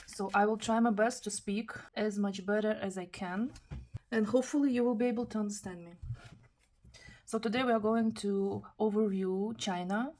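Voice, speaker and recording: A woman from Kazakhstan, not an English speaker, giving a tarot reading in careful, halting English. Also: mid-range voice, steady tone, a little mellow. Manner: careful, halting non-native English with restarts. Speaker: tarot reader.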